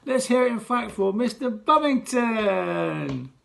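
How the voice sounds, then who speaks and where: A man voicing a brash male head teacher who acts like a showman, with loud, drawn-out greetings. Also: high-pitched, lively intonation, gravelly, pitched above the performer's own voice, full character voice. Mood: showbiz.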